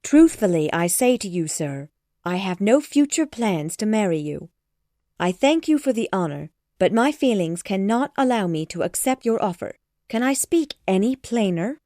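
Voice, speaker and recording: A woman's voice: mid-range voice, big pitch swings, normal speed, warm tone, dry recording, clean studio sound, close to an everyday voice. A woman voicing a spirited young woman who firmly and earnestly refuses a suitor. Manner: firm, earnest, exasperated. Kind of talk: storybook character voice.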